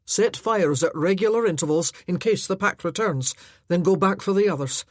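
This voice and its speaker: A woman voicing a tense, commanding Highland laird, gruff and terse, in an audiobook. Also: moderate pace, no echo, clean studio sound, lightly acted.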